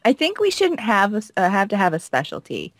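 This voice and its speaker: A woman co-hosts a gaming video with very lively, swinging intonation and a dry, close sound. She acts out dialogue playfully, with a teasing, giggly edge. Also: mid-range voice, fairly quick, dry recording. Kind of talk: playful acted reading of visual novel dialogue.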